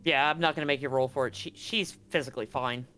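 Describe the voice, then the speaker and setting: A game master improvising narration for a tabletop game, with dramatic pauses and drawn-out emphasis. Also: medium pitch, sharp tone.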